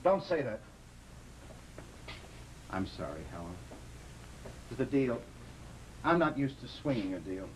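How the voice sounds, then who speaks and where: Tender, desperate gambling husband, swooping delivery. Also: male voice, mid-range voice, very animated, a little slow, long pauses, a little hiss or hum, poor audio. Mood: tender, apologetic. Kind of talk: old-time radio drama acting. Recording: vintage radio recording with faint music.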